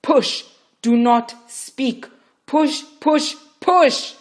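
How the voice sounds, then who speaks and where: A woman's voice: sits high, very slow, voice pushed up. A woman voicing a stern, urgent female midwife who barks firm orders during a birth. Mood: commanding.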